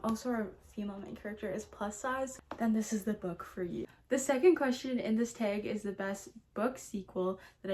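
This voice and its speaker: A woman's voice: fairly high pitch, darkish tone. A woman book vlogger talking casually to her viewers in a gushing, emphatic way, often repeating words for emphasis. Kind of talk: casual chatty video talk about books.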